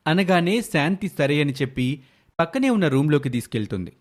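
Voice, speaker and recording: A male storyteller narrates a moral tale from a script. His voice sits a little higher than most men's. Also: sing-song, brisk.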